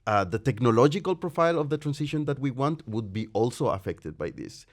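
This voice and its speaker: A man's voice: medium pitch, animated, a little fast. A man in the audience of a lecture, asking a long rambling question and thinking aloud with many asides. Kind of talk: long spoken question at a talk. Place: lecture room.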